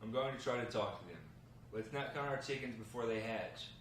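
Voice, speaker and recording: A man reading the part of an earnest, hard-up man bargaining with a gang boss, measured and persuasive, at a script table read. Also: mid-range voice, a bit bright, lightly acted. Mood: hopeful, deferential. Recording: roomy, noisy, distant mic.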